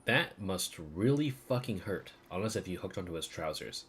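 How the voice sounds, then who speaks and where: A man's voice: low voice, expressive, normal speed, a bit bright, slight background noise. A man giving casual game commentary as a streamer.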